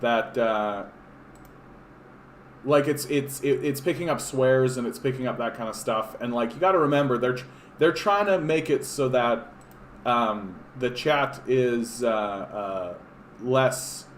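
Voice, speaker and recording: Male livestream host, mid pitch, rambling and sarcastic, echoey home room. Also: measured pace, a little hiss or hum. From Canada. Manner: rambling, frequent fillers and restarts, sarcastic. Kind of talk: casual live-stream chat reading comments.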